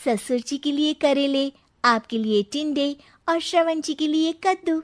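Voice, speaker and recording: A woman's voice: sits high, steady tone, a little slow. A woman voicing a shrewd young bride who speaks in a questioning, pointed way in a cartoon story. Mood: slyly pointed. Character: sharp-witted young bride, wronged but shrewd.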